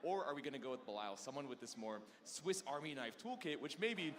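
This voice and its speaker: A man's voice: mid-range voice, lively intonation, a little fast, background noise. A man giving live play-by-play and analysis as a commentator at an esports tournament. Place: event stage with audience, heard through a stream.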